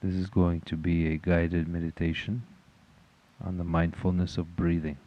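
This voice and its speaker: Very deep-voiced man guiding meditation. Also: muffled recording. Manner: calm, measured, instructional. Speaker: meditation guide. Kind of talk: guided meditation.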